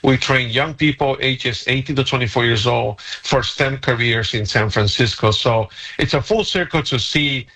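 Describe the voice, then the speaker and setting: A man in his forties from Guatemala, a guest on a radio interview, talking about his nonprofit in an earnest, steady way. His voice is bright and forceful, at an average pitch. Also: normal speed, hardly any pauses, husky, low-quality recording.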